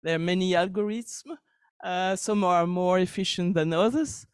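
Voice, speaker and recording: A man in a conference audience asking a provocative question loudly, with stumbling restarts. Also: high-pitched, steady tone, normal speed, smooth, dry recording, clean studio sound. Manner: loud, hesitant, stumbling restarts.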